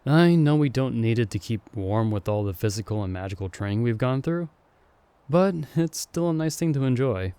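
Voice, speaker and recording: A man's voice: medium pitch, lively intonation, moderate pace, warm tone, clear, resonant tone, dry recording, natural voice. A man playing a playful young man, the hero's friend who was summoned along with him, in an ASMR audio roleplay. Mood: cheerful. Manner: teasing, friendly and upbeat. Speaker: voice actor.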